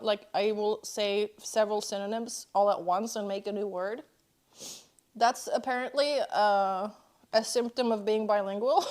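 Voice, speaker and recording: Chatty woman, slightly high voice. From Norway. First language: Norwegian. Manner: chatty, talks between bites, reads out chat. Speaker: streamer. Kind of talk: casual chat while eating on stream.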